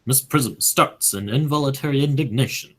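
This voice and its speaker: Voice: mid-range voice, normal speed. A narrator reading stage directions aloud for a radio play, in a scripted narration style.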